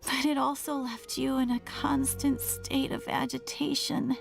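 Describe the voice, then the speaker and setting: High-pitched, frail, gentle younger sibling. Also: female voice, flat delivery, gravelly, faint music behind, exaggerated voice. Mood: regretful, weary. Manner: slow, halting, earnest confession. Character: gentle, frail younger sibling of a game heroine, tender and self-blaming. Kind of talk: dramatic video game voice acting.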